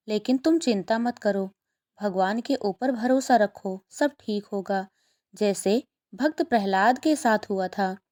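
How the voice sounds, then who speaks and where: A woman voicing a gentle mother bird who is soothing and patient, in a children's cartoon story. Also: medium pitch, natural voice.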